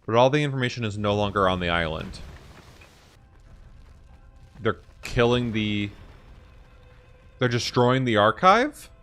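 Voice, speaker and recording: A man's voice: lowish voice, expressive, measured pace, pauses often, fairly bright tone, smooth, faint music behind. A man hosting a gaming reaction, commenting casually and thinking aloud in questions as he watches.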